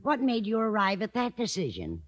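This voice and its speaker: A woman's voice: mid-range voice, huge pitch swings, normal speed, lo-fi sound. Fluttery, scatterbrained older landlady. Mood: sweetly fussy. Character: kindly, scatterbrained older landlady, chatty and motherly. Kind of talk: 1950s radio sitcom acting.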